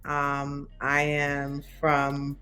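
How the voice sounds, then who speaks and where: Slow, low-voiced woman in her thirties. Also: monotone, music in the background. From Brooklyn, by way of Saint Vincent. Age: 30s. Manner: thoughtful, with many pauses and fillers. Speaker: interviewee. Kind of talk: reflective interview.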